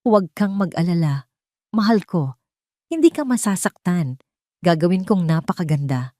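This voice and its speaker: A woman voicing a calm, deranged male kidnapper in a storybook, sweetly menacing and deliberate, speaking with obsessive conviction. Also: on the low side, dry recording, studio quality, natural voice. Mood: sweetly sinister. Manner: slow, deliberate, full of conviction.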